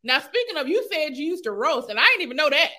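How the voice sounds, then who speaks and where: Woman host from LA, high and bright voice, easy laugh, asks questions. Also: very animated, fast talker, slight background noise. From Los Angeles. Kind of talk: casual interview chat.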